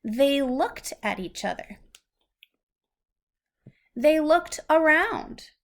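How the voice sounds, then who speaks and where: Very slow, patient woman reading aloud. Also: high voice, animated. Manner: patient, simple teacherly phrasing. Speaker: adult reading a story aloud to a young child. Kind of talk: slow read-aloud storytelling with simple explanations to a child.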